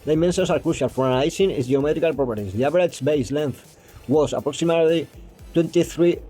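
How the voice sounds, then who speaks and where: A man explaining geometry step by step, pausing and restarting often and stumbling when he reads English passages aloud. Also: on the high side, mellow, soft background music. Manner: hesitant, with frequent restarts and fillers. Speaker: math explainer.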